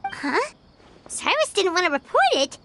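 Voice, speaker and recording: Squeaky tiny companion girl, bouncy sing-song lilt, game music behind. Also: wildly swooping pitch, a little slow, a bit bright, slight background noise, full character voice. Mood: puzzled. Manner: talks of herself by name.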